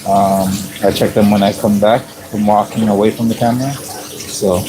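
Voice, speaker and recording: A fairly low-pitched man with a raspy edge talks viewers through his prep in a work kitchen. He keeps it loose and conversational, with frequent ums and throat clearing. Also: fairly even intonation, moderate pace, background noise. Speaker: chef livestreaming from work. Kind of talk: casual live-stream narration.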